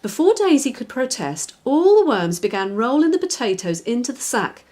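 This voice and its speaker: A woman reads a children's story aloud. The voice is fairly high, with expressive, very lively intonation and clear phrasing for young listeners. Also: moderate pace. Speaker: children's author, reader. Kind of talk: scripted storybook narration.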